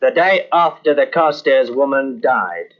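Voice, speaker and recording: An actor playing a matter-of-fact police officer in an old radio mystery, hesitant with fillers. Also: male voice, mid-range voice, animated, unhurried, faint music behind, natural voice. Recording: dull old radio transcription.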